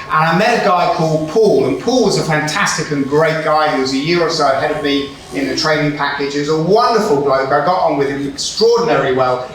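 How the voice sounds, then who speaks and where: Brief: forties male speaker, Hebrew-native, Israel-raised, storytelling sermon, slightly higher voice, booming hall, heard from off-mic. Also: a little fast, a little hiss or hum. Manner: storytelling, warm humour, rhetorical questions. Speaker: conference speaker. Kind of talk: sermon-style talk. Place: large hall with audience. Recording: echoey hall, far from the mic.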